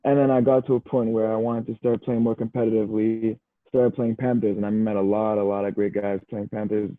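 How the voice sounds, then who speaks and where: A man's voice: on the low side, a little fast, dry recording. A young Canadian man, a university football player, chatting easily as a podcast guest, often saying "bro" and "you know". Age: young adult. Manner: relaxed, easygoing, says "bro" and "you know" a lot. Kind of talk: casual podcast chat. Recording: tinny phone-like line, dry.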